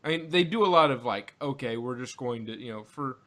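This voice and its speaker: A man's voice: mid-range voice, expressive, a little fast, a bit bright. Mid-voiced man, rambling casually. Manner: rambling, thinking aloud. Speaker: podcast host reviewing audio dramas. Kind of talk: casual group discussion.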